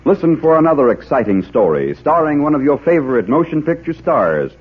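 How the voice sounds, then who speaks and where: A man announcing a 1940s radio show in a suspenseful, deliberate, theatrical style. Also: on the high side, sing-song, slightly rough. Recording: muffled old radio transcription. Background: dramatic music.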